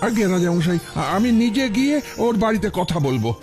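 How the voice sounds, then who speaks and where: An actor playing a deferential royal minister in a fairy-tale drama, speaking politely and formally. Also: male voice, high-pitched, very animated, fairly quick, over music. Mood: hesitant, pleading. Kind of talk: Bengali fairy-tale audio drama acting.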